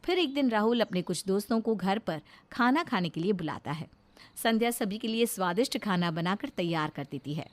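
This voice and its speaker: A woman's voice: medium pitch, lively intonation, a little fast. A woman reading a scripted story as its narrator.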